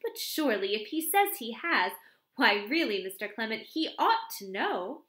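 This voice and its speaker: Gossipy young secretary, high lilting voice, arch and breathless; woman reader puts her on. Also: big pitch swings, moderate pace, a bit bright. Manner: chatty, breathless, exclamatory. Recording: roomy echo.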